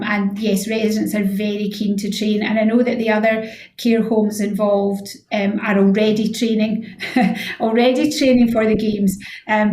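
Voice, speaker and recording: A woman's voice: mid-range voice, a little mellow. An echoey recording of a woman with a mid-range voice, talking as a guest on a radio chat show. She explains her work informally and often affirms what she is asked. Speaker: head of physiotherapy, interview guest. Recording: echoey room sound.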